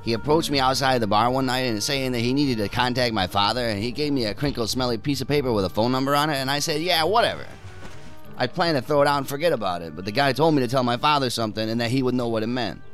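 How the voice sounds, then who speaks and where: A man's voice: medium pitch, quick pace, a bit bright, faint music behind, lightly acted. An actor playing a cynical, irritated son of a wealthy man in an audio drama, with a scornful, dismissive manner. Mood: scornful amusement. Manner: scornful, dismissive, chuckling sarcasm. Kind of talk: naturalistic audio drama acting.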